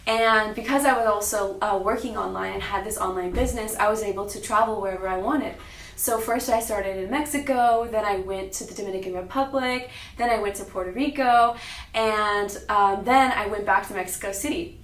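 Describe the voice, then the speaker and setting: A woman's voice: medium pitch, fairly quick, a bit bright. A young adult American woman telling her personal story as a public talk, recorded in a roomy space at some distance. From Sacramento, California, United States. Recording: roomy, echoing, distant mic.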